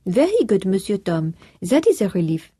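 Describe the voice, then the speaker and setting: A woman voicing a dutiful older French housekeeper who speaks formal, halting English with a French accent. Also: mid-range voice, big pitch swings, dark tone, husky, no room sound, studio quality.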